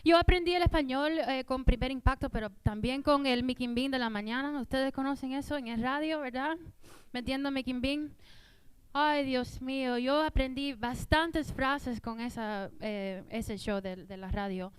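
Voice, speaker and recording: Halting bilingual woman comic, clear voice. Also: high-pitched, no echo. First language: English. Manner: hesitant, halting Spanish with fillers. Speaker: stand-up comedy host. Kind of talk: stand-up comedy. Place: small theater stage.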